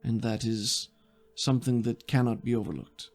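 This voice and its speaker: A game master voicing a polite, precise male bureaucrat in a tabletop game, speaking in formal, hesitant, measured phrases. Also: medium pitch, fairly even intonation, a little mellow, soft background music, natural voice. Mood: formal, concerned.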